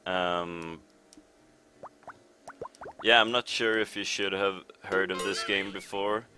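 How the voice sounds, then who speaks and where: A young Swedish man with a Swedish accent commentating his speedrun in a relaxed, rambling way, with many pauses and fillers. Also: low voice. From Sweden. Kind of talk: casual live commentary over gameplay. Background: game music.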